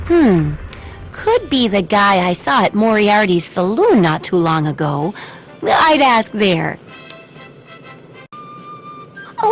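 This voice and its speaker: A woman's voice: high-pitched, low-quality recording. A woman voicing a cheerful, eccentric shopkeeper and amateur researcher in a video game, with a perky, chatty manner. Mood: breezy, friendly. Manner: perky, chatty, singsong questioning tags.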